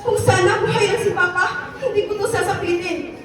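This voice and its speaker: A woman's voice: very high-pitched, fairly even intonation, normal speed, a crowd in the background, background noise. A woman playing a spoiled, ungrateful eighteen-year-old daughter, whining and demanding, in an amateur radio drama. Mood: scornful. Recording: echoey distant recording with music behind.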